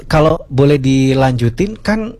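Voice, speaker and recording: A man's voice: medium pitch, lively intonation, measured pace, a little mellow, a little hiss or hum. A man hosting a radio show, joking with his co-host and a guest. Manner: jokey, upbeat. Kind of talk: casual radio banter. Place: radio studio.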